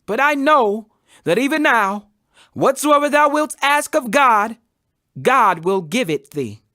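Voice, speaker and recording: A man's voice: very high-pitched, lively intonation, takes it slowly, sharp tone, no echo, clean studio sound, voice pushed up, heavily acted. A man voicing a grieving, devout woman who pleads earnestly in a dramatized scripture reading. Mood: grieving, pleading.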